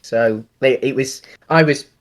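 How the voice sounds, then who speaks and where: A man's voice: mid-range voice, unhurried. A man, chatting casually as a podcast co-host and thinking aloud in a rambling, hesitant way. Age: late 30s. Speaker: podcast co-host reviewing films. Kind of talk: casual chat.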